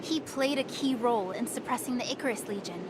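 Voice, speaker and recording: A woman playing a chatty, cheeky young guide in a sci-fi anime game, with a teasing, expressive delivery. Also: fairly high pitch, a little fast, heavy background noise, natural voice. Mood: explaining, confident. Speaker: game character voice. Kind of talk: anime game voice acting, expository dialogue.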